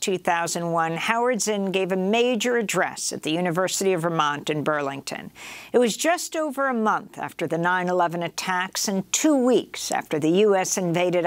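An older woman hosting a news program, reading a scripted introduction in a measured, formal way from a studio. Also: fairly low pitch, no echo. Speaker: news host.

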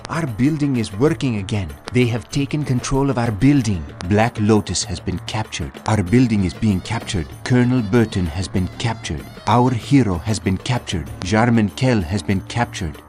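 A man voicing a measured, dutiful military battlefield advisor in scripted announcements for a strategy video game. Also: fairly low pitch, lively intonation, a little mellow, close to an everyday voice. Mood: alert, formal. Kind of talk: scripted game tutorial and status alerts. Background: music.

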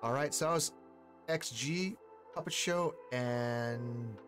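A man in his forties giving casual, slangy reaction commentary in a halting, enthusiastic way as a video host. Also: mid-range voice, a bit bright. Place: small indoor streaming room. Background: pop music.

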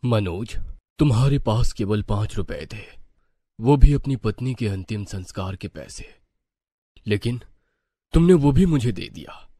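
A man voicing a benevolent god in a cartoon myth, grave and measured. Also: fairly low pitch, expressive, dark tone, husky, no room sound. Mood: solemn, gracious. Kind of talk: animated Hindi mythological story acting.

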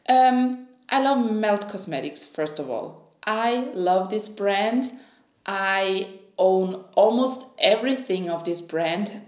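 A woman in her thirties from Switzerland, Croatian by first language, gushing excitedly through a beauty unboxing to camera at home. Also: a little high, roomy sound. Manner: excitable, gushing, with sighs and small laughs. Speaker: beauty vlogger. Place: home room, indoors.

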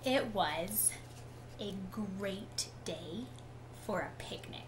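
A woman in her thirties reads a children's picture book at an unhurried pace. Her storytelling is expressive, with a mid-range pitch. A clear layer of noise sits on the home webcam recording. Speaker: teacher reading aloud.

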